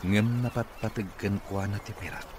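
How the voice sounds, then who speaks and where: An actor with an Ilocano accent playing an earnest, grateful boss who is a recovering patient, in a radio drama. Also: male voice, on the low side, fairly even intonation, moderate pace, close to an everyday voice. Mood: serious. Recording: noisy radio drama recording.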